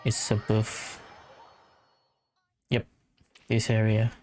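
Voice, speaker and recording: A woman's voice: rumbling low, monotone, extremely slow, warm tone, slight background noise. A woman giving casual gameplay commentary as the host of a gaming video. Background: faint game music.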